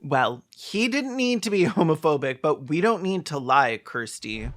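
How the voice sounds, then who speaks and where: Sarcastic video host, mid pitch voice, snarky and dramatic, swears a bit, doing commentary. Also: very animated, a little slow, fairly bright tone, soft background music. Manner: snarky, theatrical, profane.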